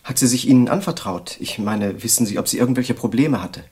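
An actor playing an intent young writer, husky and measured, in a literary audio drama. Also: male voice, mid-range voice, dark tone, no room sound, close to an everyday voice. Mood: inquisitive. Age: young adult.

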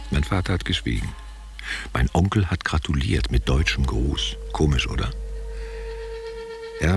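An old veteran of stage and war in a radio play. His voice is extremely low and creaky, swinging widely in melody. He talks tenderly and a little condescendingly to someone close, lost in memory. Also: heavily acted. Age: older. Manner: musing, rhetorical questions, intimate address. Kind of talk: literary radio play monologue.

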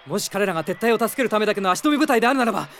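An actor playing a young, idealistic prince in an anime-style radio drama, speaking with polite, earnest formality. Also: male voice, high voice, animated, very fast, creaky, a bit bright, slight background noise, full character voice. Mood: pleading, impassioned.

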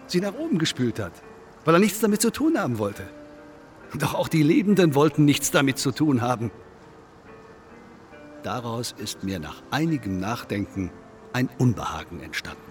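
A man acts out a self-important, pondering congress guest in a radio play. His mid-pitched voice moves in big swoops as he declaims long-winded philosophy. Music runs faintly behind him. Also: big pitch swings, normal speed, long pauses, natural voice. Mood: grandly pondering. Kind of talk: German radio play acting.

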